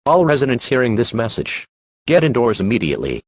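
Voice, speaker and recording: A man's voice: mid-range voice, very animated, brisk, dry recording. A man's synthetic-sounding voice reading scripted emergency alert messages as a formal announcer.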